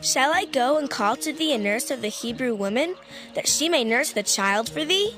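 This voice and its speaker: High-pitched eager young Hebrew girl. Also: expressive, normal speed, a bit bright, faint music behind. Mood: eager, helpful. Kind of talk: dramatized scripture reading.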